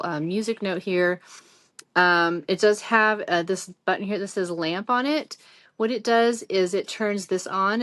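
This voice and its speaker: A woman's voice: fairly low pitch, a bit bright, no room sound. A middle-aged woman giving a casual, chatty product review in a rambling, conversational, informal way.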